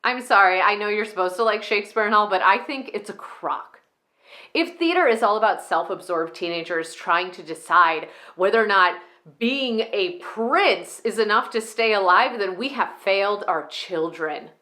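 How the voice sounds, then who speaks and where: A woman's voice: medium pitch, lively intonation, moderate pace, sharp tone. A woman performing a comedic monologue as an exasperated, sarcastic, weary parent ranting emphatically in an existential spiral. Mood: indignant, mocking.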